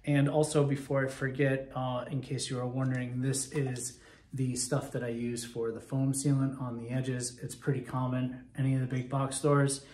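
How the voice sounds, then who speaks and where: A man's voice: medium pitch, level tone, normal speed, a little mellow. A man in his thirties or forties casually and methodically explaining a DIY project to camera inside a large timber barn. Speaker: DIY woodworker presenting a project video. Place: large empty timber barn loft, indoors. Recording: roomy echo, faint background noise and clicks.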